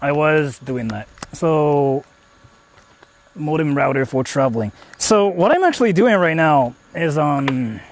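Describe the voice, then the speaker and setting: Guy rambling on a phone stream outside, kinda high voice, faint noise behind. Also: expressive, a little slow. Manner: rambling, many fillers, explains tech setup. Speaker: IRL streamer. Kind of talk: casual live-stream talk to chat. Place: outdoors in the countryside, on the move. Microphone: phone held while streaming.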